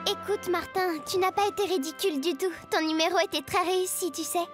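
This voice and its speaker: A woman's voice: very high-pitched, fairly even intonation, fairly quick. A woman voicing a spirited, caring young schoolgirl in a French cartoon, with an accent from France. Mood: encouraging. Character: cartoon schoolgirl Roxane, spirited, caring, bossy when cross. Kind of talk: French animated series dialogue. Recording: music under the voice.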